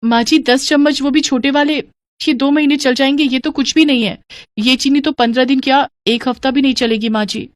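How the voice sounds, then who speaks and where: A woman's voice: high-pitched, fairly even intonation, brisk, no echo, voice pushed up, close to an everyday voice. A woman voicing a dutiful young daughter-in-law in a cartoon moral story, respectful and pleading.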